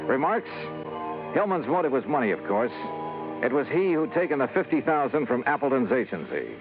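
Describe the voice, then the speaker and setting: A man's voice: mid-range voice, sing-song, fairly quick. An American man playing a shrewd, wry insurance investigator in a vintage radio noir drama, with clipped tough-guy delivery. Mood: wry, confident. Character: shrewd, hard-boiled male freelance insurance investigator. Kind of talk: 1950s radio noir acting and first-person narration. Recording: muffled vintage radio broadcast with music.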